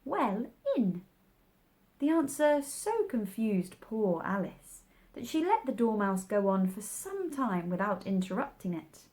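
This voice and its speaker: Woman narrating a kids story, very expressive pitch, middle register. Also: measured pace. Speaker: storybook reader.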